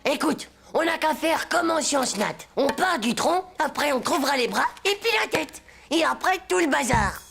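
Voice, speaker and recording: A woman's voice: high voice, expressive, moderate pace, gravelly, a bit bright, full character voice. A woman voicing a cheeky, boastful young schoolboy in a cartoon, slangy and cocky. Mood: bossy, pondering. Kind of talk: French animated series acting.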